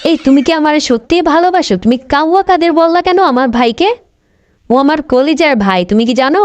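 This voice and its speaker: A woman's voice: high voice, expressive, brisk, no room sound. A woman voicing a bossy, sulky, spiteful grandmother in an amateur comic cartoon, nagging and demanding petulantly.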